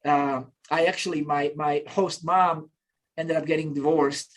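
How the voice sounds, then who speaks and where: A man in his fifties from Bosnia, telling his story as an interview guest in a relaxed, reflective way with frequent restarts. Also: on the high side, unhurried. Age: 50s. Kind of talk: casual conversational storytelling.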